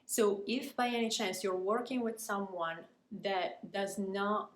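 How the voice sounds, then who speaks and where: A woman from Italy, a native Italian speaker with an Italian accent, gives a prepared educational talk as a language coach, articulate and deliberate in her emphasis. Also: medium pitch. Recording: echoey room with faint noise.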